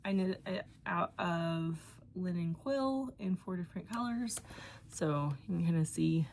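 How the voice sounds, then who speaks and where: A woman's voice: lowish voice, animated, a little slow, creaky, a little mellow, a little hiss or hum. A young woman hosting a knitting podcast from her home, chatting casually in a rambling way with fillers and a somewhat congested voice. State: congested from allergies. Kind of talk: casual chat.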